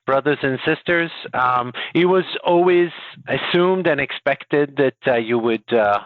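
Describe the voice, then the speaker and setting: A man from Israel answering interview questions in a measured way, pausing often with "uh". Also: medium pitch, no echo. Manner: measured, many "uh" pauses. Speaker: guest executive. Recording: dry, dull lo-fi audio.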